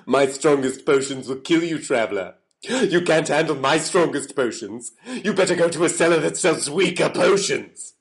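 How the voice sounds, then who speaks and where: A man's voice: on the low side, moderate pace, natural voice. Man putting on a pompous, stubborn potion seller. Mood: stern warning. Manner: dismissive, repetitive, pompous. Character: haughty, stubborn potion seller refusing a customer. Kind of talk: comic sketch voice acting.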